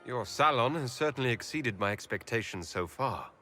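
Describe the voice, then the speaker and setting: A man's voice: mid-range voice, animated, normal speed, sharp tone. A man playing a guarded, direct fantasy hero in a video game, questioning in a formal manner. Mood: guarded, inquiring. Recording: music under the voice.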